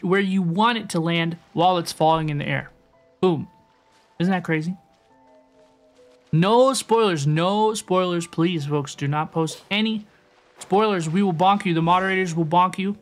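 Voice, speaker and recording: A woman's voice: lowish voice, a little slow. Chatty woman streamer, repeating herself. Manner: repeats phrases, addresses viewers. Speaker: game livestreamer. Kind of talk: casual livestream chat over gameplay. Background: game music.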